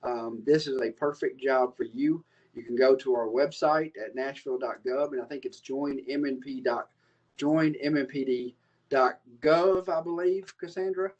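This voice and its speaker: A man's voice: medium pitch, steady tone, moderate pace, darkish tone. A man, a police commander, speaking upbeat at a virtual community meeting. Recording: muffled video call audio.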